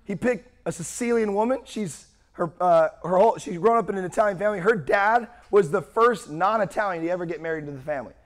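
Man, young pastor, sermon, strained voice, high pitch, loud projection. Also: fast talker, few pauses. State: strained, worn-out voice.

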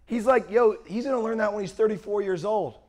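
A young man preaching a sermon with a worn-out, strained voice and a loud, projecting manner. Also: sits high, a little fast. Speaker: pastor.

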